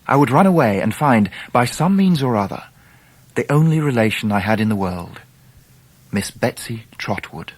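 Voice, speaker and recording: An actor plays an earnest English gentleman telling his own story in a period radio drama. His measured, literary delivery sits at a middle pitch, slightly rough and husky, recorded close and dry. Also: animated, no room sound, close to an everyday voice. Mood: resolved. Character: grown gentleman narrator recalling his boyhood, earnest, measured. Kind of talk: scripted first-person drama narration.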